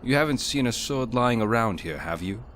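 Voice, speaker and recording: An actor playing a steady, protective warrior hero in a video game, terse and direct. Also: male voice, medium pitch, moderate pace, slight background noise, close to an everyday voice. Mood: dry menace. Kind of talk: video game character dialogue. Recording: music behind the voice.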